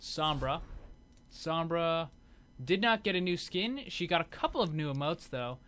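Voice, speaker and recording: A man's voice: fairly high pitch, animated, unhurried, a bit bright. A man giving casual, off-the-cuff commentary as host of a gaming showcase video.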